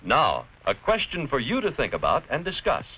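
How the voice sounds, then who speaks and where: An American man giving scripted educational narration in a deliberate, clearly explanatory, didactic manner. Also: medium pitch, wildly swooping pitch. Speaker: narrator-presenter of an educational film. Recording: dull, noisy vintage educational film soundtrack.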